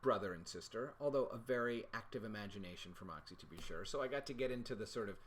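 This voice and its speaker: A man's voice: lowish voice, quick pace, a little hiss or hum. A middle-aged man co-hosting a casual livestream chat, talking in a playful, mock-serious and wordy, wry way. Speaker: children's author and co-host of a readalong show.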